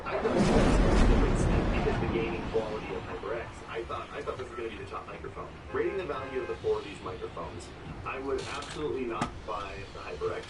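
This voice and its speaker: A man with a deep voice casually reviewing microphones for his stream viewers, candid and relaxed. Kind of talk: casual product review to camera. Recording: distant mic with loud background noise.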